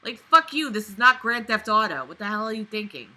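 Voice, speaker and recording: Sweary, sharp bright-voiced woman. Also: mid-range voice, big pitch swings, a little fast. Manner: sweary, sarcastic, indignant asides. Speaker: vlogger reading and critiquing a novel. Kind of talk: book reading with exasperated running commentary.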